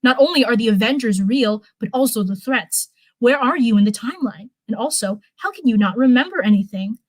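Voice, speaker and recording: A woman's voice: on the high side, lively intonation, brisk, no room sound. A woman reading a story aloud as narration, now and then stumbling over a word and going back over it. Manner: stumbles over words and rereads them. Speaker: live stream host reading fan fiction.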